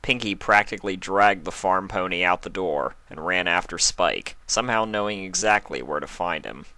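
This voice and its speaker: A man's voice: low-pitched, normal speed, bright, forceful tone, dry recording. A man hosting and narrating a fan fiction reading, moving between casual talk and storybook narration. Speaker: fan fiction reader, channel host. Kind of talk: casual spoken introduction, then storybook narration.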